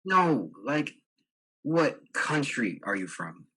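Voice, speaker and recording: Guy acting smug young dude in a one-act play, bit husky, shrugs everything off. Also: mid-range voice, big pitch swings, pushed and bright, full character voice. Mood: smug, prying. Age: young adult. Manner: glib, dismissive, halting. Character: smug, tactless young man on a date. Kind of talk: student one-act stage drama.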